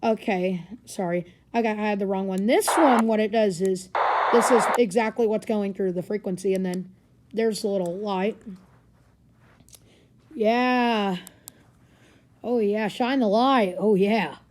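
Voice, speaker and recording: A woman's voice: medium pitch, a little slow, long pauses, darkish tone. A woman giving a casual hands-on gadget demonstration in a rambling, informal way, recorded in a living room. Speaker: gadget reviewer. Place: carpeted living room indoors. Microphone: handheld camera or phone, speaker off screen.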